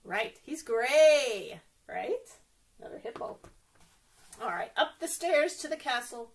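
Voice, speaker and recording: High lively lady reading a picture book to little kids, sing-songy, keeps asking them stuff. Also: takes it slowly, poor audio. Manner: animated, sing-song, addresses children directly with questions. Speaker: children's librarian reading stories to kids. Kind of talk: read-aloud story time for young children.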